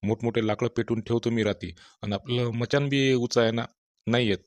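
A man voicing a calm, knowing old village farmer with a rural Vidarbha Marathi accent in cartoon storytelling. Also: medium pitch, brisk, no room sound, lightly acted. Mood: explaining.

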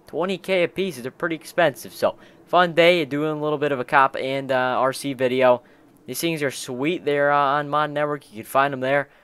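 Slangy young man, slightly high voice. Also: fairly even intonation, normal speed, fairly bright tone, clear, resonant tone. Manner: slangy, exclamatory, addresses friends as bro and dude. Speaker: gaming video host. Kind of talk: casual gameplay roleplay commentary with friends.

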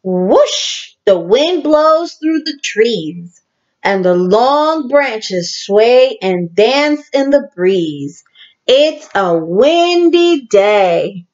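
Female primary teacher for picture-book read-aloud. Very slow, deliberate delivery, animated storytelling, slightly muffled lo-fi sound. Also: on the high side. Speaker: teacher reading a picture book to young children. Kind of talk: children's read-aloud with asides to listeners.

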